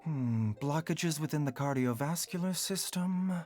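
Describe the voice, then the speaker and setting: A man voicing a calm, composed male doctor who speaks in a measured, polite, clinical way. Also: fairly high pitch, soft background music, natural voice. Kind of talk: game voice acting.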